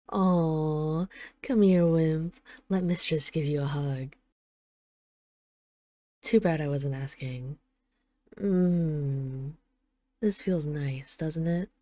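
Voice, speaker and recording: A woman's voice: deep voice, dark tone, dry recording. A woman playing a possessive dragon queen in an intimate roleplay audio drama, teasing, condescending and commanding. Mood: teasing, smug.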